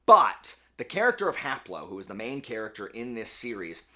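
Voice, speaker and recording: Lively, rambling male book vlogger. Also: fairly low pitch, a little fast. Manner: chatty, rambling, self-correcting. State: slightly hoarse. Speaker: book channel host. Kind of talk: casual book vlog.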